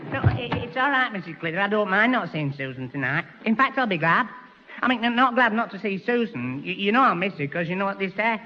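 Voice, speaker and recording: Stammering dim young man, fast, hissy old radio with reverb. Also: high-pitched, lively intonation, pushed and bright, highs cut off. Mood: flustered. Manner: stammers, repeats words, slow and earnest. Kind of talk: 1960s radio sitcom acting.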